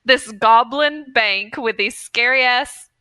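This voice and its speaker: A woman's voice: a little high, takes it slowly, sharp tone, smooth, no room sound. A woman co-hosting a podcast, chatting casually in an informal, slangy way. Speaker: podcast co-host. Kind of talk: casual chat.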